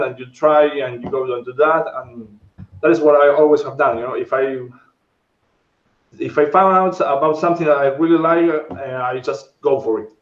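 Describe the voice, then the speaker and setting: A man in his thirties from Argentina whose first language is Spanish, a guest sculptor chatting in a rambling way and searching for English words. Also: on the high side. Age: 30s. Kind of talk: casual interview chat. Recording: dull, roomy sound.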